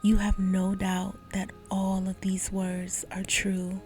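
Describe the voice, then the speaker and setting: A woman guiding a visualization meditation in a soft, slow, soothing voice, often dropping to a whisper. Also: medium pitch, completely flat delivery, soft background music. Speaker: meditation guide.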